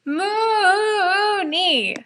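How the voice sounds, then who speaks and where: An exuberant, drunk teenage boy at a party, acted out by a woman reading a story. The voice is high and bright, with loud, theatrical exclamations. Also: very slow, exaggerated voice. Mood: drunk, effusive. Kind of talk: fan fiction audiobook character.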